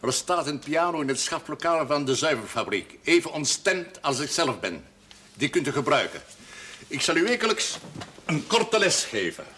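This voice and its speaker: Stern, pompous old pastor. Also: male voice, a little high, very animated, sharp tone. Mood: stern, probing. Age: older. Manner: formal, pompous, measured and admonishing. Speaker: actor in a television drama series. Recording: faint background noise, old television audio.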